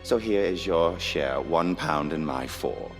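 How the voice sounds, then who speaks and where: A man playing a stern, greedy, pompous workhouse master in a radio drama, speaking in a commanding, deliberate way. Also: sits low. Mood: smug, businesslike. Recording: long hall reverb, music behind.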